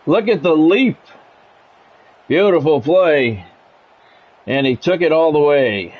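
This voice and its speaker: A man's voice: fairly high pitch, animated, slow, highs cut off. A middle-aged American man streaming a sports video game, mixing casual chat with punchy announcer-style play-by-play. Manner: conversational, switching into punchy announcer-style calls.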